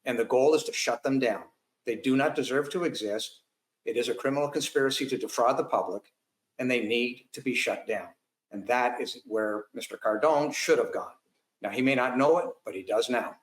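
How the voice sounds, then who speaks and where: A man's voice: mid-range voice, normal speed. Blunt combative man, echoey room. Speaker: guest. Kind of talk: talk-show commentary.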